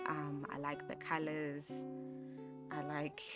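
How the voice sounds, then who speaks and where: A South African woman in her twenties, talking in a soft, reflective way with many fillers and restarts during an interview. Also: low voice, monotone, slow pace. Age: 20s. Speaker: interviewee, graphic designer. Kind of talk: relaxed personal interview. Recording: music bed playing behind voice.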